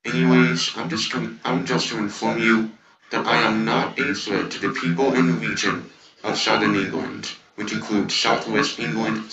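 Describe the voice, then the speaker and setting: A man playing a gentle, friendly monster who reassures the public in a halting, repetitive, informal speech. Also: fairly low pitch. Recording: muffled, roomy, distant mic, crowd noise.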